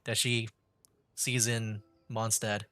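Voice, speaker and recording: A woman streamer giving casual, halting commentary as she thinks aloud during a game. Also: extremely low pitch, flat delivery, fairly bright tone, no room sound. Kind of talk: casual live gameplay commentary.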